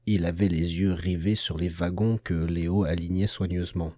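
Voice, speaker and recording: A man's voice: low voice, fairly even intonation, normal speed, warm tone, no echo, studio quality. A man reading a scripted children's tale as a storyteller.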